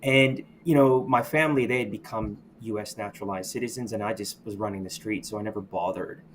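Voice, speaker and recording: Low-voiced man telling his story. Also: fairly even intonation, a little hiss or hum. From United States. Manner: reflective, halting, with many restarts and "you know". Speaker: podcast guest. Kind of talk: candid personal storytelling.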